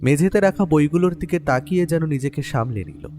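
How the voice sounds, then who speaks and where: A man playing a detective's observant writer companion, narrating a mystery in the first person with expressive, literary storytelling. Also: fairly high pitch, a little fast, noisy background, natural voice. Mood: reflective, suspenseful. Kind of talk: scripted dramatic story narration. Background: clear music bed.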